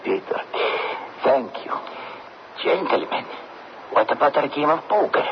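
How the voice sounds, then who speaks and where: An actor playing a dead army general, courteous, formal and deliberate, in an old radio drama. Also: male voice, mid-range voice, very animated. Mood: dignified. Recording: dull, noisy old radio broadcast.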